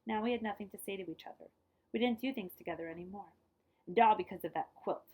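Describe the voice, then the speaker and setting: A woman reading a children's picture book aloud in an expressive, dramatized way, dropping to hushed phrase endings and adding short asides. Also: medium pitch, fast talker, husky.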